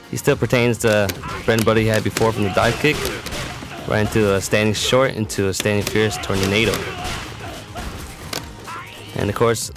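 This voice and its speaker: A man giving matter-of-fact, instructional commentary for a fighting game tutorial. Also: lowish voice. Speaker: competitive gamer presenting a character demo. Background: music.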